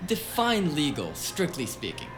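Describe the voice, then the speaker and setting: An actor playing a reckless, breezy cyberpunk hacker sidekick in an audio drama, flippant and evasive in manner. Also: male voice, on the high side, unhurried. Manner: flippant, animated, hedging. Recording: music behind the voice.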